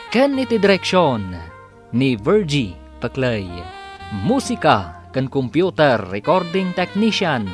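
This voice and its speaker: A man reading a scripted radio drama sign-off as announcer, formal and drawn-out. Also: mid-range voice.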